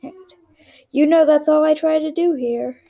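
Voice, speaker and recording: Monotone, gravelly-voiced young woman. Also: high voice, normal speed. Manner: monotone, rambling, self-deprecating. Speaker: drawing tutorial host. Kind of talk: casual drawing tutorial narration.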